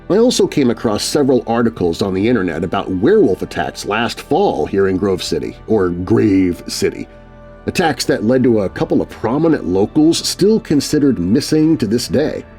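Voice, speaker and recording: Male narrator, middle pitch with an animated range, expressive read of letters for a kids' scary podcast. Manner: expressive reading of letters aloud. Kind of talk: scripted storytelling narration.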